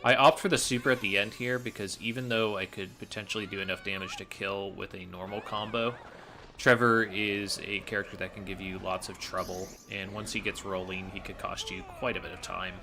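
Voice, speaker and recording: A man's voice: on the low side, steady tone, fairly quick, creaky, bright, forceful tone. A man giving casual, explanatory commentary over his own video game run. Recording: game music faintly behind. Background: fighting game music.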